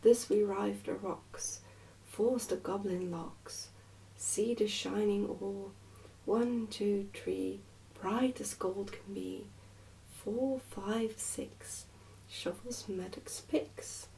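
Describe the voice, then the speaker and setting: A woman's voice: mid-range voice, steady tone, very slow, vocal fry, warm tone, distant mic, a little hiss or hum, low-quality recording. A woman voicing a cheerful, fearless twelve-year-old miner boy in a storybook, with a confident, sing-song manner. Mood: merry chanting.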